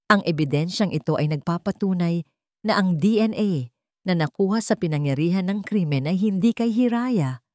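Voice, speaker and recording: A woman's voice: lowish voice, expressive, normal speed, mellow, no echo, clean studio sound, lightly acted. A woman voicing a bold young heir in a story, declaiming accusations against a family. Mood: accusing.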